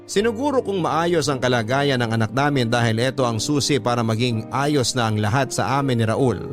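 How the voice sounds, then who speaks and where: A man's voice: medium pitch, lively intonation, fairly quick, lightly acted. Man reading as sorrowful storyteller over music. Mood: wistful sorrow. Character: sorrowful letter sender recounting painful lost love. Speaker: radio drama narrator. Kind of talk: scripted first-person narration.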